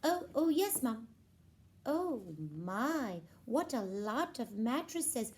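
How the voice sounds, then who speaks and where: A woman's voice: high-pitched, expressive, slow pace, darkish tone, voice pushed up. A woman voicing a dainty, eager young princess puppet in a children's show, with a flustered, girlish and deferential manner.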